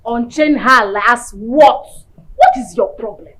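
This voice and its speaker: A woman's voice: on the high side, animated, moderate pace. A woman with a Nigerian accent playing a bossy, quarrelsome young woman who scolds the others. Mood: scolding. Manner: scolding, combative.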